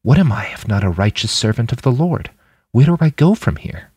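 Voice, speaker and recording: A man reads a devout young woman shaken by war in an audiobook. The delivery is earnest and anxious, with very wide pitch movement in a dry recording. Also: sing-song, fairly quick, darkish tone, breathy edge, no room sound. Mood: anguished doubt. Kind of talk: fiction audiobook character voice.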